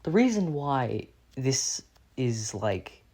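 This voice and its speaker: A young man in an unscripted critical rant as a video commentator, halting and repeating himself as he gropes for words, exasperated and sweary. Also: mid-range voice, sing-song, darkish tone. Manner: halting, repetitive, groping for words.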